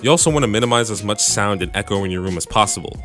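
A man with a bassy voice casually narrating a home recording tutorial, with joking asides, on a studio mic in his home room. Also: expressive, very fast, music in the background. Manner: laid-back, joking asides. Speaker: music producer and YouTube tutorial host. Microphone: studio microphone through audio interface.

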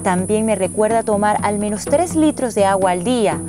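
Woman, travel narrator, scripted delivery, medium pitch, music playing behind. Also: lively intonation, normal speed.

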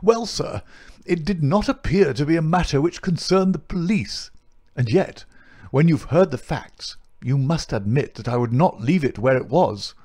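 Man playing stout respectable older gentleman, raised pitch, pompous, indignant, formal, storybook character. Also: expressive, normal speed. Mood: flustered, huffy. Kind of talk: audiobook character acting.

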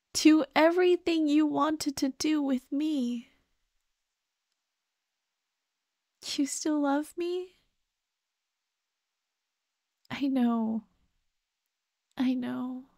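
Woman acting a slow, high-voiced, tender ghost girlfriend. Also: darkish tone, no echo, clean studio sound. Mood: sad, gentle. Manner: slow, tender, sighing, pleading. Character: gentle, grieving ghost of a dead girlfriend, comforting, pleading. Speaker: ASMR roleplay performer. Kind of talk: soft ASMR roleplay acting.

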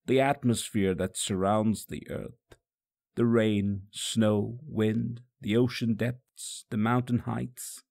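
A condemned holy man played by a male reader tells his own story in solemn, tender sorrow. His low voice is gravelly and moves very slowly, deliberate and reflective, as in a dramatic audiobook. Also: a little mellow, exaggerated voice. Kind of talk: solemn first-person dramatic monologue.

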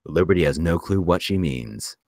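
Male narrator for script directions, extremely low voice, close dry recording. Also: lively intonation, moderate pace, a little mellow, no echo. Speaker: screenplay narrator at a table read.

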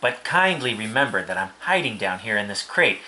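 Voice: medium pitch, very animated, a little fast, bright, forceful tone, rough recording. A storybook narrator voicing a grumbling, put-upon male rat who complains at length. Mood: grumbling, indignant.

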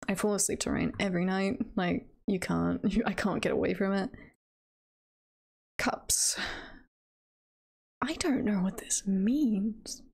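Girl streamer just chatting, lots of vocal fry, slow and rambly, kinda giggly. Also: mid-range voice, darkish tone. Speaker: livestreamer, virtual avatar host. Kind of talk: casual livestream chat.

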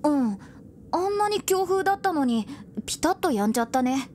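A woman playing a cheeky but caring young boy companion in a voice drama, boyish, casual and expressive. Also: high-pitched, brisk, a little hiss or hum. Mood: uneasy. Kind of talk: Japanese voice drama acting.

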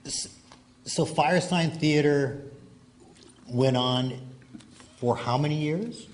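A man's voice: on the high side, slow. A man hosting an informal interview panel on stage in front of a live room. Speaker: panel host. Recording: faintly noisy live stage recording.

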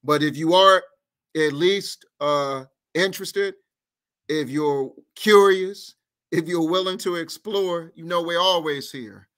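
A man's voice: fairly high pitch, slow pace, a bit bright. A middle-aged man speaking in a video-call interview through a headset, delivering an impassioned political speech with rhythmic, repetitive lists. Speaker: guest activist. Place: indoor room at home.

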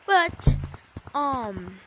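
A woman casually walking through a VR game tutorial on a headset mic, rambling and correcting herself, with sudden excited outbursts. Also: sits high, drawn-out words, heavy background noise. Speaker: gameplay tutorial maker. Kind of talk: casual VR gameplay walkthrough.

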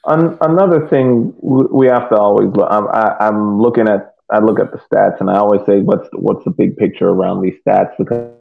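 A man discussing sports on a podcast video call, deliberate and hesitant, restarting his phrases as he reasons. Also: on the low side, gravelly, phone-quality sound. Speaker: podcast panelist. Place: indoor room on a video call. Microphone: video call microphone.

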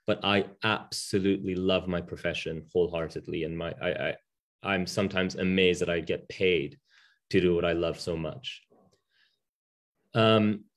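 Man, deep voice, japanese native, video call, lots of ums. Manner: frequent ums and restarts, candid. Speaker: barrister, panel guest. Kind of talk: webinar talk. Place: home room with bookshelf.

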